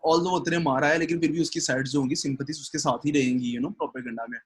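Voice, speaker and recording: A man's voice: on the high side, steady tone, quick pace, slight background noise. A Pakistani man hosting a casual film discussion in an earnest, wordy way, circling back to rephrase and mixing in English. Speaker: discussion host.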